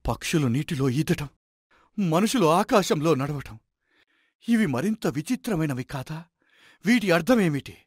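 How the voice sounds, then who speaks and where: A man's voice: on the high side, lively intonation, unhurried, long pauses, slightly rough, dry recording, studio quality. A man playing an anxious, doubting king who searches for hope, speaking formally and questioningly in a dramatized moral story. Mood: doubtful.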